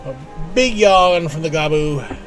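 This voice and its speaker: A man's voice: on the high side, expressive, unhurried, a bit bright, soft background music. A man giving casual live video game commentary, talking to himself with wry asides.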